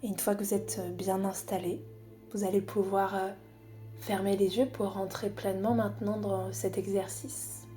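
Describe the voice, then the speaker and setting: Soft mellow woman guiding relaxation over music. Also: medium pitch. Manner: calm, unhurried, soothing, with long pauses. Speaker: psychologist guiding a relaxation exercise. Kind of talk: guided body scan meditation.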